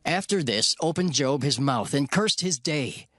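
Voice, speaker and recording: A man's voice: a little high, animated, unhurried, a bit bright. A man narrating scripture in a solemn, dramatized storytelling style. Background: music.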